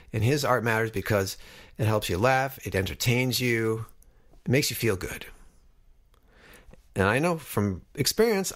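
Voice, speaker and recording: Middle-aged man, podcast host, medium pitch, casual reflective talk. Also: fairly bright tone.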